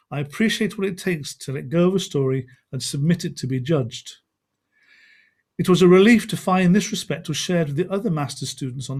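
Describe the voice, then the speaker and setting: A man with a fairly high voice hosts an informal online event. He talks in a chatty, rambling way, as on a video call. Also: a little mellow. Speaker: event host.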